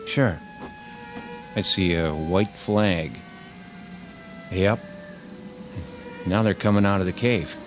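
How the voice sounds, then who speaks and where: An American actor playing a sardonic, war-weary infantry soldier who wisecracks in a radio drama. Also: male voice, low voice, slow pace, long pauses, music in the background. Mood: teasing, watchful. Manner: drawling, sardonic.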